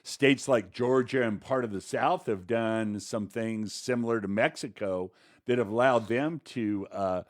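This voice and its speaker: Lowish-voiced man in conversational commentary. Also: measured pace. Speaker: podcast co-host.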